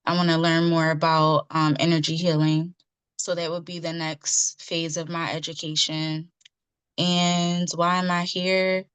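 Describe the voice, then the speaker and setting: A woman's voice: low voice, level tone, measured pace, clean tone, no room sound. A woman in her thirties introducing herself on a video call, hesitant and full of ums. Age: 30s. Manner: hesitant, many ums, soft-spoken. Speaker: participant.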